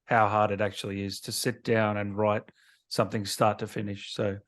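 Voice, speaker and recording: A man's voice: fairly low pitch, level tone, normal speed. Casual, matey male interview host. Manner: supportive, matey, informal. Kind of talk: casual chat.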